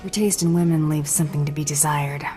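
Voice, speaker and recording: Deadpan bounty hunter , voiced by a woman, very low and mellow, faint game music. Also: fast, close to an everyday voice. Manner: dry, deadpan. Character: female bounty hunter, dry and unflappable.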